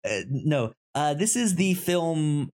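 A podcast co-host with a medium-pitched voice talks casually through movie pitches. The delivery is halting, with frequent stammers and repeated syllables. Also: animated, a little mellow.

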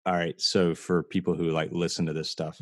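A man's voice: sits low, flat delivery, a little fast, no room sound, clean studio sound. An American man in his late thirties hosting a casual, heartfelt podcast chat, talking earnestly and telling stories conversationally. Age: late 30s. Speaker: podcast host.

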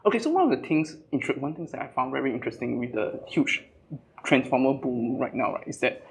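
A man, a tech company CTO speaking as a podcast guest in an animated way, often restarting and repeating his phrases. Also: mid-range voice, brisk, gravelly. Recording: distant mic, non-studio audio.

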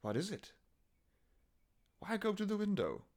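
An actor playing an anguished, obsessive husband in a melodramatic horror radio play, theatrical and pleading between murmurs and cries. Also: male voice, high-pitched, wildly swooping pitch, unhurried, darkish tone, heavily acted. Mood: tender, unhinged. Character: anguished, obsessive husband devoted to his cursed wife.

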